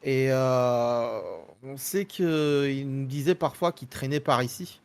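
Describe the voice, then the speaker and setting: A man's voice: mid-range voice, slow, darkish tone, clear, resonant tone, close to an everyday voice. A man voicing a hesitant young male gamer who stammers as he explains himself. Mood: awkward. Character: young Australian male gamer traveler, awkward.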